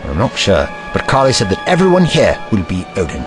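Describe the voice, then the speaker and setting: Guy doing a fretful eccentric time traveller, dramatic, super animated, audio drama with music under. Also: fairly low pitch, brisk. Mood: worried.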